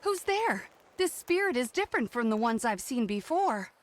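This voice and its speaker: A woman's voice: fairly high pitch, sing-song, moderate pace. A woman playing a protective, thoughtful young mother, the earnest heroine of a video game. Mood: wary. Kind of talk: video game character narration.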